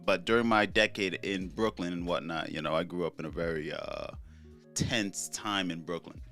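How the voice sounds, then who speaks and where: Young male podcast host from Trinidad, very low voice, loose rambling delivery, faint music underneath. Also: fairly even intonation, moderate pace, fairly bright tone. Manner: laid-back, rambling, profane, many fillers. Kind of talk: casual solo commentary.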